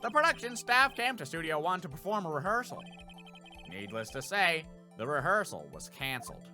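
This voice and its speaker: Man voicing a blustery detective, lively and forceful. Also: fairly high pitch, moderate pace, faint music behind, full character voice. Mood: matter-of-fact briefing. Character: gruff, folksy male police detective giving a case briefing. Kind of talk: video game character dub.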